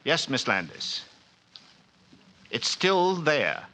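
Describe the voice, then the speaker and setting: A man's voice: on the high side, big pitch swings, very slow, over music, exaggerated voice, rough recording. An actor playing a sleepless, haunted male artist, with intense, pleading old-time radio delivery. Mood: tense. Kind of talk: 1940s radio acting.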